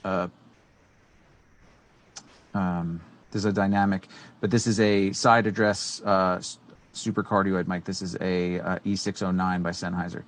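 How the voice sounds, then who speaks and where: Deep-voiced man explaining microphones casually. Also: little pitch movement, measured pace, long pauses. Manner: rambling, many fillers and restarts. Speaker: co-host. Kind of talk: casual educational tech talk. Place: small studio room with green screen. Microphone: studio microphone on boom arm.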